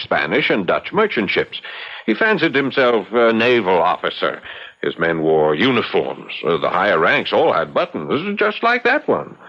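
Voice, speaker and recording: An actor playing a shrewd, inquisitive colonial gentleman sleuth with a wry, authoritative, questioning manner, in radio drama style. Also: fairly low pitch, heavily acted. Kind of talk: old radio drama acting. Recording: muffled vintage radio recording.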